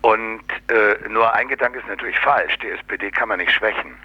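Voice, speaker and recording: Very low, husky man in interview. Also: fairly quick, no room sound, lo-fi sound. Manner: sardonic, colloquial, tag questions. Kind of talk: casual explanatory interview talk.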